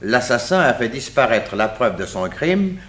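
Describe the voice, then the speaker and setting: An actor playing a stern male investigator in a French radio detective serial, speaking formally and deliberately. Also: medium pitch, big pitch swings, a bit bright. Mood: stern, probing.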